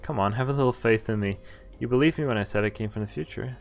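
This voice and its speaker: A man's voice: mid-range voice, fast, creaky. A man streaming a visual novel, reading its text aloud and sometimes stumbling over words and rereading them. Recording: music behind the voice. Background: game music.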